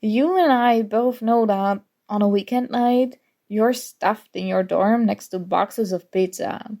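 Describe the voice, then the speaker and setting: Woman doing a snarky college girl academic rival, asmr roleplay, slow and teasing, kinda high voice w lots of fry. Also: warm tone. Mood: sarcastic dismissive. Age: young adult. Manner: sarcastic, teasing, exasperated. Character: snarky competitive college student, grudgingly fond academic rival. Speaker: audio roleplay voice actor.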